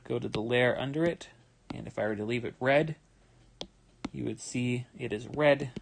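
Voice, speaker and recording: A man's voice: mid-range voice, normal speed, creaky, a little hiss or hum. Tired man chatting while he draws. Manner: relaxed, rambling, with many fillers and restarts. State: tired, yawning. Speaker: manga artist streaming his drawing. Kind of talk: casual livestream commentary while drawing.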